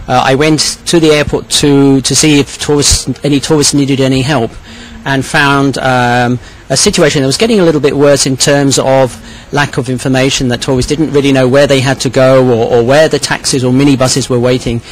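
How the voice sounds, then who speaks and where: A man with a mid-range voice explains things in a measured way, as an interviewee. He sometimes repeats a phrase as he goes. Clear ambient noise sits behind him on the location recording. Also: medium pitch, low-quality recording. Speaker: hotel owner and tourist police volunteer interviewee. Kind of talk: spoken interview account.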